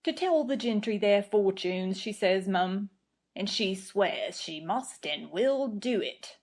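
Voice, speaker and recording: A woman's voice: mid-range voice, animated, slow pace, darkish tone. A woman voicing a respectful male footman in a storybook reading, plain and deferential.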